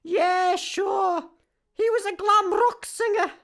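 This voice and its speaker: A Scottish woman playing a cheeky, deadpan petrol station attendant in a sci-fi comedy radio play, dry and sarcastic. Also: very high-pitched, takes it slowly, creaky, exaggerated voice. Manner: dry, self-aware, sarcastic.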